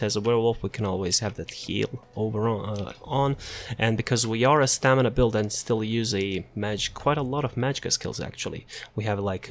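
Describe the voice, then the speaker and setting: Low-voiced man casually narrating gameplay. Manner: relaxed, rambling, many fillers and restarts. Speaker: gaming content creator. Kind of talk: casual build showcase commentary.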